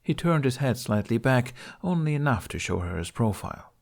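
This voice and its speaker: A man's voice: medium pitch, sing-song, normal speed, gravelly. A man reading scripted fiction as an audiobook narrator.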